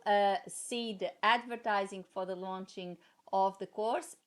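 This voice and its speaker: A woman with a Portuguese accent, a native Portuguese speaker, talking to her viewers in a casual vlog, halting with many fillers but passionate. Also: medium pitch. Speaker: translator and vlogger.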